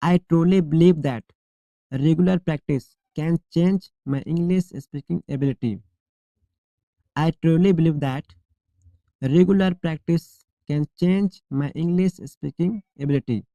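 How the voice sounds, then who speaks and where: Guy teaching english, reads each line real slow and repeats it, mellow voice, dry sound. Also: a little high, no room sound, muffled recording. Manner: slow, deliberate, each sentence repeated clearly. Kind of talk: shadowing drill, reading model sentences.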